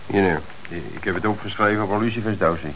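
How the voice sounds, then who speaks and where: Grudging Dutch petty crook, deep voice, wary street talk, hissy old radio drama. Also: male voice, a little fast, a bit bright, rough recording. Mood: uneasy, grudging. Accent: Dutch from the Netherlands. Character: wary small-time crook, the quieter brother. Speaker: actor. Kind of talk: Dutch radio play acting.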